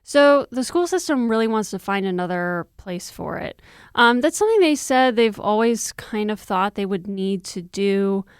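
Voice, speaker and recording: Clear-voiced woman reporter explaining, close dry sound. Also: mid-range voice, animated, moderate pace, dry recording. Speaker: news reporter, interview guest. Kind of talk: conversational explanatory news discussion.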